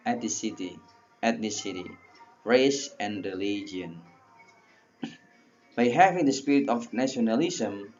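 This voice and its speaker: A man with an Indonesian accent delivers a formal prepared speech in a deliberate, halting, oratorical way. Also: on the low side, a little mellow, muffled recording. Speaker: speech presenter.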